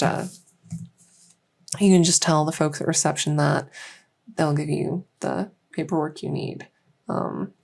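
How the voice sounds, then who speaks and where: A woman voicing a gentle fairy dragon girl doctor in a soft-spoken ASMR roleplay, reassuring her patient with hesitant pauses. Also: deep voice, vocal fry, heavily acted. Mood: casual, caring.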